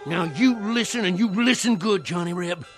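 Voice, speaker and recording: A man's voice: high-pitched, normal speed, soft background music, natural voice. An actor playing a fervent old Southern conjure man in a radio play, with a folksy, theatrical rustic way of talking. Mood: fierce, boastful. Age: older. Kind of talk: dramatic radio play acting.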